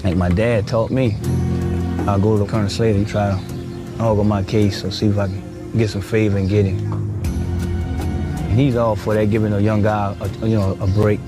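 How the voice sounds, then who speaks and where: A man's voice: on the low side, level tone, normal speed, long pauses. A man talking casually and unscripted, in colloquial nonstandard grammar. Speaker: prisoner working as horse trainer. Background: loud music.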